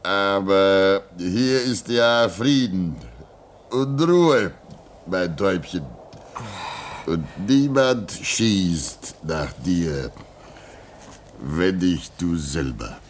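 An actor playing a lonely, pious radio operator at an Arctic station in a radio play, praying and chanting slowly. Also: male voice, sits low, expressive, a little hiss or hum, heavily acted. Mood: teasing. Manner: slow, prayerful, half-singing.

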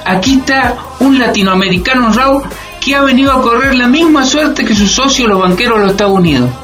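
A very high-pitched, excitable Latin American immigrant, boastful and good-natured. He talks heartily and repeats himself eagerly, as in a radio play, with faint music around him. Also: quick pace. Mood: proud, cheerful. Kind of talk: radio drama acting.

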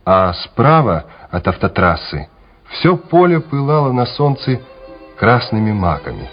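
A man narrates a war story for a radio play in a scripted, dramatic style. His pitch sits in the middle range, and music plays softly behind him on a slightly dull recording. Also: big pitch swings, measured pace, breathy edge.

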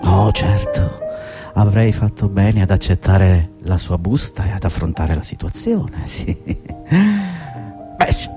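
An actor playing a bitter, desperate older employee who has been fired, in a theatrical radio drama, husky and agitated, swinging from muttering to outbursts. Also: male voice, sits low, expressive, slow, over music, heavily acted.

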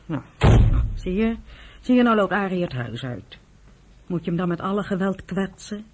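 A woman playing a fair-minded, middle-aged mother in a Dutch radio drama, husky and gently reproachful. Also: lowish voice, expressive, normal speed. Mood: gentle reproach.

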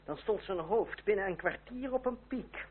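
Proud, sardonic young revolutionary, swooping delivery. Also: male voice, high-pitched, expressive, moderate pace, slightly rough. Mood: sardonic, scornful. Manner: formal, biting, sardonic. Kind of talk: old radio play acting. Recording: old radio recording, phone-like, faint noise.

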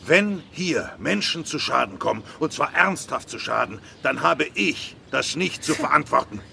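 Gravelly, blustering male business tycoon. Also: fairly high pitch, lively intonation, moderate pace, pushed and bright, a little hiss or hum, exaggerated voice. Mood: indignant, blustering. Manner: blustering, indignant. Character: irascible, self-righteous poultry tycoon, murder suspect. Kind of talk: radio crime drama acting.

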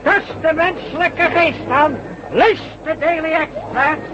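An actress playing a street newspaper seller crying out sensational headlines in a radio play. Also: female voice, high-pitched, a little slow, over a noisy crowd. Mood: sensational, shouting. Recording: dull radio recording, clear background noise.

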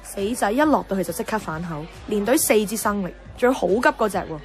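A woman's voice: mid-range voice, lively intonation, brisk, faint music behind, lightly acted. An actress playing an outspoken, exasperated young career woman in a Cantonese radio drama, blunt and scolding, with a Hong Kong accent. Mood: worried, exasperated. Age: young adult.

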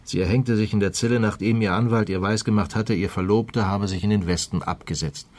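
An actor playing a shrewd police detective in a radio crime play, questioning in a pointed, deliberate way. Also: male voice, fairly low pitch, steady tone, a little mellow, natural voice. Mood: grimly insistent. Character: shrewd, probing male police detective pressing a suspect. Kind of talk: German radio play dialogue.

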